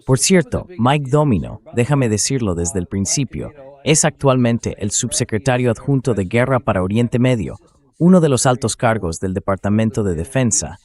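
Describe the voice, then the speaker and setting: A man hosting a political commentary show, explaining his points in a measured, emphatic way. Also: mid-range voice, expressive, darkish tone. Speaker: show host. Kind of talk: political commentary to camera, translated read-aloud.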